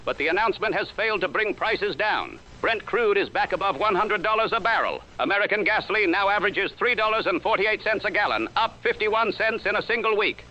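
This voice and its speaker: A man reporting as a Washington correspondent in a formal, measured 1930s radio newscast style. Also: high voice, sharp tone. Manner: formal, measured, old-time announcer cadence. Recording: lo-fi vintage radio sound with faint hiss.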